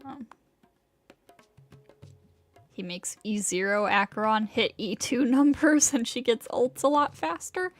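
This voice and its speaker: A young woman livestreams a game in a fairly high voice. She chats casually at a slow pace, rambling and hesitating, with faint music in the background. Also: no room sound. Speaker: game streamer.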